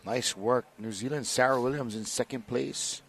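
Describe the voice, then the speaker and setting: A man's voice: mid-range voice, normal speed, creaky, noisy background. Upbeat man calling a paddling race. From Hawaii. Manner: upbeat, enthusiastic announcing. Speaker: race commentator. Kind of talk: live sports commentary.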